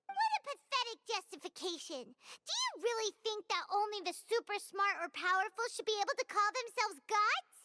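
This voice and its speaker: A little indignant fairy for a game, super high and piercing, kiddish squeak, blunt. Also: female voice, very animated, normal speed, sharp tone, slight background noise, heavily acted. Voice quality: squeaky, childlike. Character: tiny childlike fairy companion, indignant, excitable, blunt. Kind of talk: video game voice acting.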